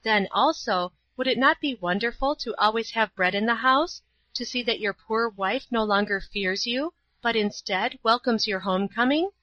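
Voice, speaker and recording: A woman's voice: on the high side, expressive, normal speed, bright, forceful tone, dry recording, dull audio. A woman voicing a pure, earnest young girl who pleads fervently with a hardened man. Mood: pleading.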